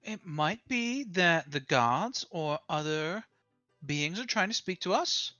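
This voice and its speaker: A player in a tabletop game, talking slowly and hesitantly with playful jokes. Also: medium pitch, animated, bright, forceful tone, no room sound, muffled recording, faint music behind. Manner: slow, joking, hesitant. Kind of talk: casual tabletop game chat.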